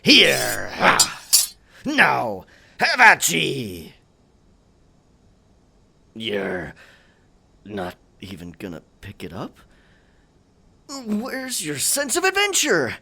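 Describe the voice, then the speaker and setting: A man plays a sentimental pirate captain in an audio drama, his voice gravelly and full of creak. He talks slowly and haltingly in old-fashioned pirate speech, dramatic and earnest, with pitch that swings widely. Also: huge pitch swings, bright, forceful tone. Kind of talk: scripted roleplay audio drama, pirate ASMR.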